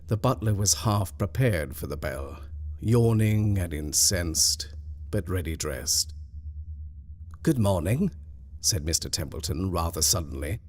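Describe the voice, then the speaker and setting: A man reading a scripted ghost story in a measured, dramatic storyteller's manner. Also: low voice. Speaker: story reader.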